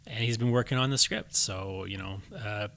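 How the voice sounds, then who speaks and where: A man hosting a writing podcast into a studio mic, his voice bright and forward. He talks casually and makes his points by posing leading questions. A faint hum sits behind him. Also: on the low side, normal speed, gravelly. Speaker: podcast host. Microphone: studio microphone.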